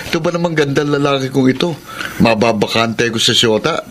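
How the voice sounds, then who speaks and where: A man's voice: mid-range voice, moderate pace, a bit bright, a little hiss or hum, close to an everyday voice. Man playing cocky young Jonathan. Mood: teasing. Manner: cocky and teasing. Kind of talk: Filipino radio drama acting.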